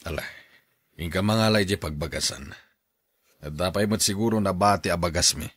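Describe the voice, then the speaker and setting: A man's voice: deep voice, moderate pace, a bit bright, breathy edge, dry recording, clean studio sound. An actor playing a kindly older father looking after his grown daughter, in a Filipino radio drama with a Filipino accent. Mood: concerned, kindly.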